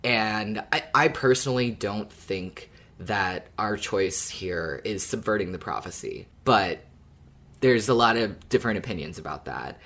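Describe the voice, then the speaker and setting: A man's voice: fairly low pitch, animated, unhurried, vocal fry, fairly bright tone. A man co-hosting a podcast in casual discussion, explaining things in a thoughtful, hedging way. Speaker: podcast co-host.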